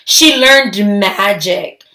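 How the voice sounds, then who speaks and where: A woman reading a children's story aloud, expressive and emphatic, with deliberate phrasing. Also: mid-range voice.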